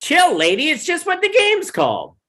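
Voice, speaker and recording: A brash, animated cartoon animal. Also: female voice, high voice, moderate pace, heavily acted. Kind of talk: animated comedy table read.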